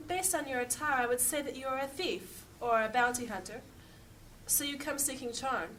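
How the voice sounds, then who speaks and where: A woman playing a commanding, imperious mage in a fantasy audio drama, stern and formal, quick to threaten. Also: sits high, fairly bright tone, slight background noise. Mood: probing.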